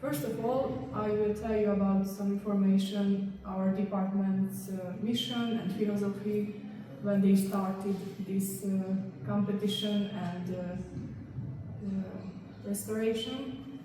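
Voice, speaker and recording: A young woman with a Hungarian accent, a native Hungarian speaker, reading her conference presentation in English with many halting pauses. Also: medium pitch, fairly even intonation, dark tone. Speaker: guest lecturer. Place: large lecture hall. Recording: echoey hall from far off, background noise.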